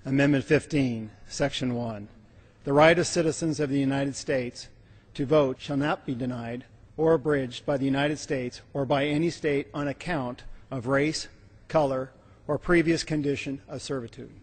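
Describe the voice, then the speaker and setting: A man with a husky, average-pitched voice reads a legal document formally. The room is large and strongly reverberant. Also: fairly even intonation, moderate pace. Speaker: member of Congress. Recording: reverberant large legislative chamber.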